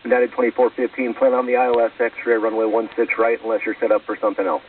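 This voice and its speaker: A man's voice: medium pitch, completely flat delivery, fast, husky. A male air traffic controller giving procedural, clipped instructions over aviation radio. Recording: muffled, phone-like air traffic radio, distant.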